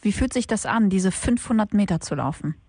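A woman's voice: mid-range voice, expressive, a little fast, a little mellow, breathy edge, no echo, clean studio sound. A woman hosting an interview, asking fluent, probing questions. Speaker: host.

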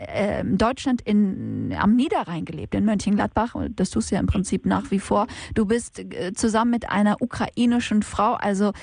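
A woman's voice: medium pitch, a little mellow, no room sound. A woman hosting an interview, asking fluent, probing questions. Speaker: host.

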